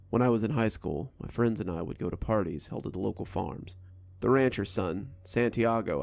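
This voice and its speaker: A man reading a first-person horror story aloud in a steady, low storytelling delivery. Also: vocal fry, no room sound. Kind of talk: scripted horror narration.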